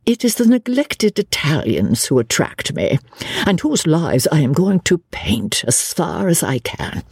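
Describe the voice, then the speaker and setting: Gushy middle-aged lady writer done by a narrator, theatrical and pompous, pitch all over, bit husky. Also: a little mellow, dry recording, studio quality, exaggerated voice. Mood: emphatic, pompous. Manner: emphatic, pompous, effusive. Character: gushing, theatrical middle-aged lady novelist, boastful and emphatic.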